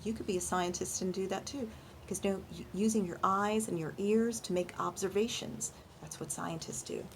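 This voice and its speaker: A woman reading a picture book aloud to young children in an animated storytime style, pausing to ask questions and explain things simply. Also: medium pitch, slight background noise. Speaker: children's librarian and storytime reader.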